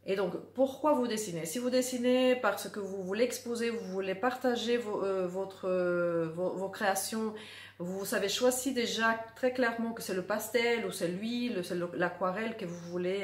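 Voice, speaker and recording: A middle-aged woman, a drawing teacher, talking casually to the camera and earnestly stressing her points by repeating words. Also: mid-range voice. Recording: echoey room.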